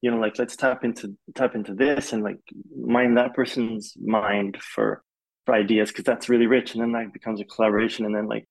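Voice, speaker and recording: Gravelly-voiced young man chatting loosely. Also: medium pitch, a little fast, low-quality recording. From Malaysia. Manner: relaxed, rambling with many "like" fillers. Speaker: film composer, guest. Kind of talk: casual interview chat.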